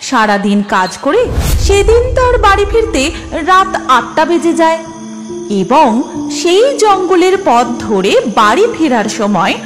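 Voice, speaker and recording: A woman's voice: extremely high pitch, big pitch swings, a little slow. A woman narrating a children's story in a dramatic, scripted storytelling style. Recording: music behind the voice. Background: music.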